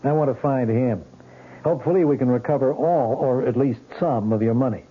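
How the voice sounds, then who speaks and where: Actor as a blunt police lieutenant, tinny old radio. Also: male voice, medium pitch, brisk, slightly rough, lightly acted. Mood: firm, insistent. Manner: pressing, matter-of-fact questioning. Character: blunt, insistent male police lieutenant questioning a woman. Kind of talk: 1970s radio drama acting. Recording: tinny narrow-band old radio recording.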